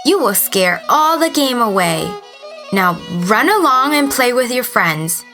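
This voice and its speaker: A woman's voice: fairly high pitch, very animated, a little slow, soft background music, pitched above the performer's own voice, heavily acted. A woman voicing exasperated grown village uncles, male hunters who scold and call out, in a children's story. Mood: annoyed. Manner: scolding, loud calling.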